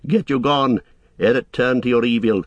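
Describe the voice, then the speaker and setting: A man reading the part of a noble warrior leader who speaks with steady, solemn command. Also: mid-range voice, normal speed, breathy edge, no echo, muffled recording, close to an everyday voice.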